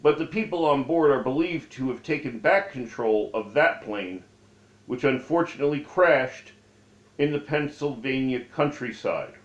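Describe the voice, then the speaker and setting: A man reading aloud from his own memoir as its author, with deliberate, halting phrasing. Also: medium pitch, animated. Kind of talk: read-aloud memoir narration. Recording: dull, echoey room recording.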